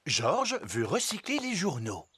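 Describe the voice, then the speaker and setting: Un homme à l'français, narrateur amical d'un dessin animé pour enfants. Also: male voice, a little high, wildly swooping pitch, measured pace, a bit bright, a little hiss or hum, exaggerated voice. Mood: informative. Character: friendly storytelling male narrator of children's cartoon. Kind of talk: scripted narration for a children's cartoon. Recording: music behind the voice.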